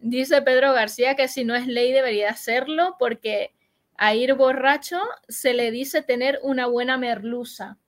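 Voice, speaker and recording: A woman's voice: high voice, fairly even intonation. A woman hosting a comedy radio show, chatting casually and quizzing her guest. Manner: chatty, quick questions. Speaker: comedian host.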